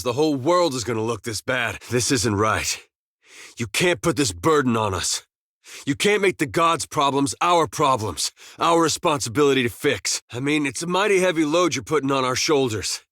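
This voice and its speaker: An actor playing a laid-back, wry farmer and veteran soldier in a video game, with a folksy, understated drawl. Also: male voice, on the low side, fairly bright tone, no echo, clean studio sound. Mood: troubled, reproachful. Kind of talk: video game character dialogue.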